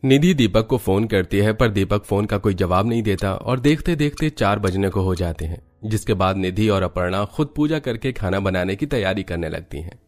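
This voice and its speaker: A man serves as narrator for a cartoon family tale. His voice is on the low side, and he delivers scripted storytelling narration. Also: animated, normal speed, few pauses.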